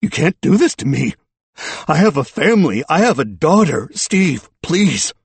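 A man's voice: medium pitch, very animated, normal speed, gravelly, slightly rough, no room sound, pitched above the performer's own voice, heavily acted. A man voicing a blustering, cornered middle-aged man who turns from indignation to begging, in an audiobook story. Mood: desperate pleading. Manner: loud, blustering, breaking into begging.

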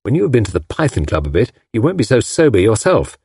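A man voicing a lively, cheeky young woman who teases playfully. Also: mid-range voice, quick pace, slightly rough, dry recording, clean studio sound, full character voice. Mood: teasing. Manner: bright, playful, teasing.

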